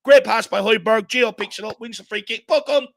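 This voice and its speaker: A hoarse Irish man hosting a fan watchalong, giving excited live commentary and urging the players on. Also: sits high, normal speed, background noise. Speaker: fan channel host. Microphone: home desk mic.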